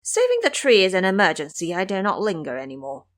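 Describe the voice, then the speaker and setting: A woman's voice: mid-range voice, huge pitch swings, quick pace. A woman voicing a cheeky, brash male monkey immortal in an audio drama. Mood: brisk.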